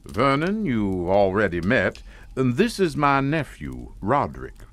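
An actor playing a courtly, sinister old plantation patriarch with a folksy Southern US drawl in an audio drama. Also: male voice, medium pitch, huge pitch swings, a little slow.

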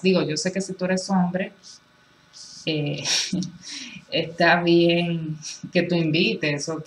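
Lower-voiced woman giving a chatty tarot reading. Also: poor audio. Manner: chatty, reassuring, lots of filler phrases. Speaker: tarot reader. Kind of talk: casual tarot reading to camera. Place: indoors at a table with cards on a cloth.

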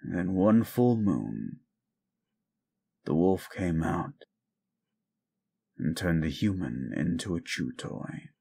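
Casting: male actor for jaded, grieving werewolf in an audio roleplay, slow gravelly delivery, cynical lecturer. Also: sits low, lively intonation, dark tone, heavily acted. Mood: grim, wistful. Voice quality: gruff, gravelly. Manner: cynical, scolding, deliberate storytelling. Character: bitter, grieving, gruff adult male werewolf. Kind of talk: audio roleplay drama acting.

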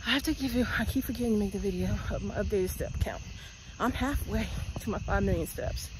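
A woman's voice: medium pitch, fairly quick, background noise. A woman in her forties vlogging while walking outdoors at night, talking bluntly and rambling into a phone held at arm's length. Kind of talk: casual walking vlog. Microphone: phone at arm's length, earbuds.

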